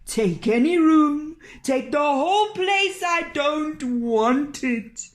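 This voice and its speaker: A woman's voice: sits high, animated, takes it slowly, voice pushed up. Woman acting an agitated, exclaiming male innkeeper. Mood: agitated, exasperated. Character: frightened, gruff male innkeeper fleeing his inn.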